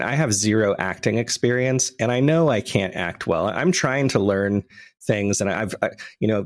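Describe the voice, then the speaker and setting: A man's voice: on the low side, no room sound, clean studio sound. A man in a casual interview as a podcast creator, self-deprecating and hesitant in his phrasing.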